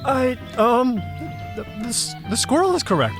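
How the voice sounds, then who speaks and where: An actor playing a polite, timid young male raccoon dog in an audio drama, hesitant and gentle. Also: medium pitch, sing-song, moderate pace, loud music behind the voice. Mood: nervous. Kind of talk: audio drama character acting.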